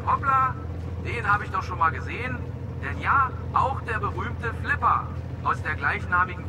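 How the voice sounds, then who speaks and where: An actor playing a jokey dolphin boat tour guide in a radio drama, lecturing tourists in a cheeky, rehearsed way. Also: male voice, high-pitched, lightly acted. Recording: noisy outdoor boat-tour ambience.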